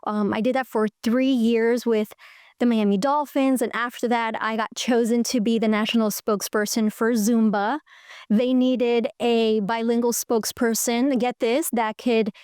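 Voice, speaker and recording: A middle-aged woman from Colombia, telling her career story in an animated, enthusiastic way as an interview guest. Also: fairly high pitch, moderate pace, smooth, no room sound, clean studio sound.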